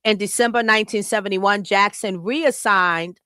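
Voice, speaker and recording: American woman host, smooth dry sound. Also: medium pitch, moderate pace, bright, forceful tone, no room sound. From Chicago, United States. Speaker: podcast host. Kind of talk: casual tribute talk, partly read aloud.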